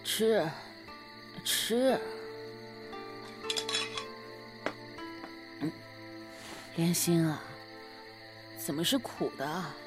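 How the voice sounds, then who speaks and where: A woman playing a moody young gentleman official in a Chinese period radio drama, grumbling and imperious. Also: on the low side, expressive, slow, music in the background. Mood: sullen.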